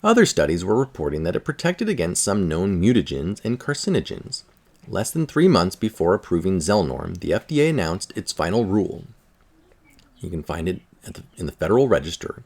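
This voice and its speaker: A man with a low voice reads a scientific article aloud. His intonation moves around a lot, and his delivery is informal, like someone reading for listeners and commenting along the way. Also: sing-song, fairly quick, a little mellow. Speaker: article reader.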